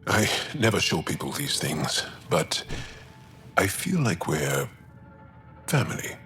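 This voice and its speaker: A man playing a gentle, sentimental alien crewmate in a video game, with a measured, earnest delivery. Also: low voice, sing-song, soft background music, exaggerated voice. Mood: wistful, open. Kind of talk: video game dialogue acting.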